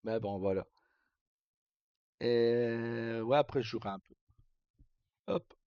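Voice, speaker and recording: A man chatting casually as a livestream host, telling roleplay stories in a halting, rambling way and often repeating words as he goes. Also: mid-range voice, clear, resonant tone, no room sound. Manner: halting, rambling, frequent self-repetition. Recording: dull lo-fi mic, dry.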